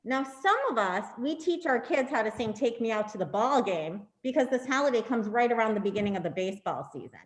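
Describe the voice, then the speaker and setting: A woman's voice: on the high side, lively intonation, fairly quick, few pauses. A woman playing a boastful, popular holiday in a playful amateur comic reading, recorded with room echo. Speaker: performer in a congregation's reader's theater. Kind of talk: amateur comic scripted monologue. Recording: echoey room sound.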